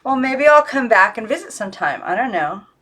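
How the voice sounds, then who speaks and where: A woman voicing a lonely young girl who speaks plainly and gently, in a storybook reading. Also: fairly high pitch, very animated, a little fast, voice pushed up. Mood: tender, wistful. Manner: plain, gentle, short answers. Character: Maggie, lonely young girl, tender and matter-of-fact.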